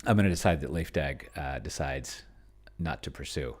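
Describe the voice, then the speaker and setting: A middle-aged man thinking aloud in casual commentary while playing a tabletop game at home, halting and muttering to himself as he goes. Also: very deep voice, expressive. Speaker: host of a solo roleplaying video. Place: at home, at a computer.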